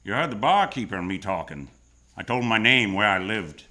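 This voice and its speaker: Low-voiced, hard-edged American noir hero. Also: male voice, expressive, a little fast, pushed and bright. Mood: wary defiance. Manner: wry, terse, world-weary. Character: tough, wisecracking male hardboiled hero telling his story. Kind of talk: first-person noir radio drama acting.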